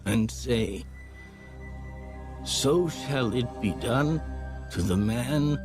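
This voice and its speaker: A man narrating scripture from a script, solemn and deliberate, with measured phrasing. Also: medium pitch, expressive. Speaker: audio Bible narrator. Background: background music.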